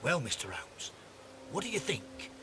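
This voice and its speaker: An actor playing a brusque, eager Victorian police inspector in a video game, with a British accent and an authoritative, blustering manner. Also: male voice, fairly high pitch, wildly swooping pitch, unhurried, background noise. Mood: puzzled. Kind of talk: scripted video game acting.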